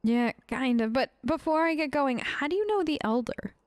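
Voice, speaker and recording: A woman's voice: high voice, lively intonation, moderate pace, no room sound, clean studio sound. A woman hosting a game let's play, improvising in character in a casual, polite and hesitant way. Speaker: gaming video host.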